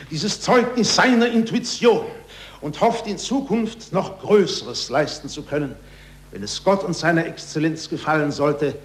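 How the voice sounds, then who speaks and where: Ceremonious male orator, high-pitched and declamatory, vintage radio drama, reverberant. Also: slight background noise. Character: pompous male university official addressing Venetian nobles. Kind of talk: 1940s radio acting. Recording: echoey 1940s radio recording.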